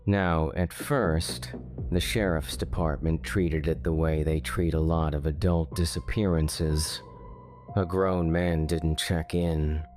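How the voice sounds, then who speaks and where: A man narrating a scripted true-crime horror story in measured, short phrases with pauses. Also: a bass voice, steady tone, darkish tone, faint music behind.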